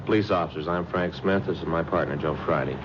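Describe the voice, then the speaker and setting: Casting: male actor as low-key American cop, deep voice, quick polite delivery, vintage radio. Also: highs cut off, close to an everyday voice. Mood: polite, steady. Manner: polite, matter-of-fact. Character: courteous, steady, low-key male police detective partner. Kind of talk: 1950s radio crime drama acting. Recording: noisy, band-limited 1950s radio recording.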